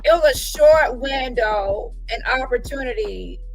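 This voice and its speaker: A woman's voice: fairly high pitch, measured pace, gravelly, low-quality recording. A woman host giving casual reaction commentary, blunt and sassy, repeating phrases for emphasis. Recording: music playing behind the voice.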